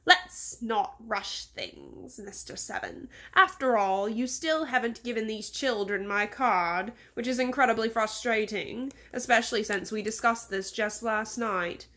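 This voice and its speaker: A woman's voice: on the high side, unhurried, hardly any pauses, bright, forceful tone. A woman voicing a pompous, insulting rich man with an English accent in a storybook, imperious and scolding. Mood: condescending.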